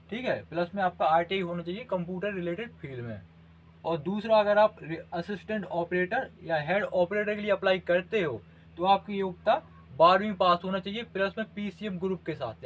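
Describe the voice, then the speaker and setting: The recording is dull and lo-fi. A man talks like an online coaching host. He explains details informally and instructively, often pausing to confirm that the listener is following. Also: high voice. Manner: instructional, repeatedly checks in with the listener. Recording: dull lo-fi audio.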